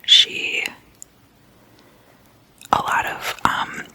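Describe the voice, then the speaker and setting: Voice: drawn-out words, sharp tone. An ASMR host telling stories in a whisper, in a casual, gossipy, conversational manner.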